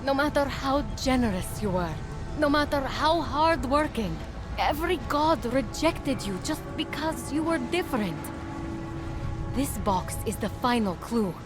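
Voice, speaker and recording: A woman's voice: high-pitched, animated, unhurried. A woman playing an earnest, plucky young mortal heroine in a video game, speaking in a conversational, explanatory way. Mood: gentle, sympathetic. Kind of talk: video game dialogue acting. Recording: music under voice. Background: game score.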